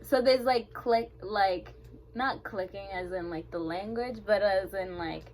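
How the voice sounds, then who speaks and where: A woman's voice: mid-range voice, unhurried, a little mellow, slight background noise, rough recording. A young woman from Kenya whose first language is Swahili, answering interview questions in a hesitant, casual way with many fillers. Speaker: interview guest.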